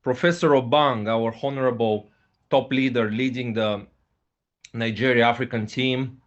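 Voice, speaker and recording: A man's voice: medium pitch, fairly even intonation, normal speed. Hesitant man on a video call. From Bulgaria. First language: Russian. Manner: hesitant, frequent fillers, repeats "okay, guys". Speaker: host, company ambassador. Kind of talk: live online business presentation. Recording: dull lo-fi video call audio.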